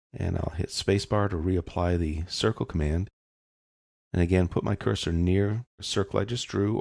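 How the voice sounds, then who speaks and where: Very deep, gravelly man teaching. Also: a little mellow, no echo, clean studio sound. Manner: explanatory, informal phrasing. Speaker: software tutorial instructor. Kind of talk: step-by-step screencast lesson, casual.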